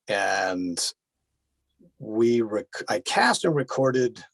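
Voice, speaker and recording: A man's voice: mid-range voice, breathy edge, no echo, poor audio. A middle-aged man, a voice actor and director, talking casually and emphatically as an interview guest, with dry humor.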